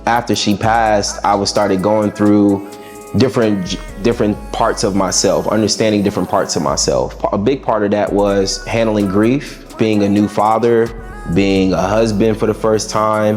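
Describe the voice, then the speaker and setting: A young man with a raspy, lowish voice talks through interview answers in an easygoing, reflective way with casual slang. Soft music plays faintly behind him. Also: steady tone, measured pace. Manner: laid-back, reflective, slangy. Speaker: musician guest.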